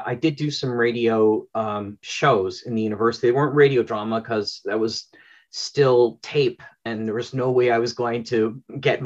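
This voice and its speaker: A man's voice: mid-range voice, highs cut off. A middle-aged man from Canada, an interview guest telling stories conversationally on a video call. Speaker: interview guest, audio drama producer. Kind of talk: casual conversational storytelling. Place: small home room with bookshelves.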